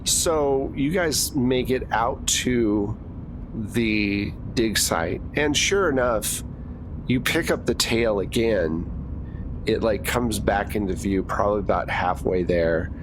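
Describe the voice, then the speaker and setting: A man acting as game master, narrating a tabletop game in a halting, conversational way. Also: lowish voice, background noise. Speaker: game master, podcast host. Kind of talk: improvised tabletop game narration and casual chat.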